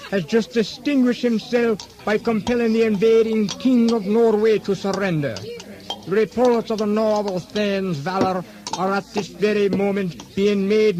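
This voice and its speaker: High-voiced declaiming bard. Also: male voice, dark tone, poor audio. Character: bardic storyteller narrating a mock-heroic Scottish tale. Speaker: narrator. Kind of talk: mock-heroic radio drama narration.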